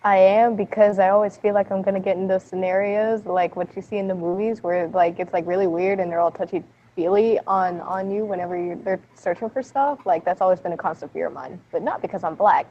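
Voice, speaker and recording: A woman's voice: medium pitch, fairly even intonation, fairly quick. A young woman, a college student, chatting as a guest on an online call, playful and teasing with frequent giggles. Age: young adult. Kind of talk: casual online chat. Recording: dull lo-fi voice chat with faint hiss.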